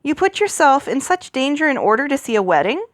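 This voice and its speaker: A woman's voice: sits high, big pitch swings, brisk, no room sound, studio quality, pitched above the performer's own voice. A woman voicing a confident, courteous young prince in a storybook reading, with an assured, commanding manner. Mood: concerned, surprised. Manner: assured, orderly speech.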